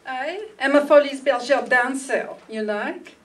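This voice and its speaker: A woman acts out a coy cabaret dancer in an old-time radio play. Her voice is fairly high, and sounds some distance from the mic. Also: expressive, unhurried, a bit bright. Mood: coy, flirtatious. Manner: coy, foreign phrasing. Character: flirtatious, mysterious French female cabaret dancer. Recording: distant room microphone.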